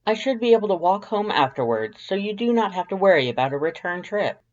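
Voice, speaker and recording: A woman's voice: mid-range voice, sing-song, brisk, darkish tone, lo-fi sound, natural voice. A woman voicing a prissy, verbose male skeleton in an audio drama, with a formal, grandiose, carefully enunciated delivery. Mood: polite, upbeat. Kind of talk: fan fiction audio drama acting.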